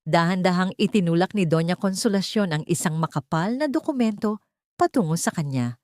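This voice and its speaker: A woman storyteller delivers scripted, dramatic narration. Her voice sits a little low for a woman. The recording is dry, with hardly any room sound. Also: expressive, fairly quick, darkish tone, no room sound.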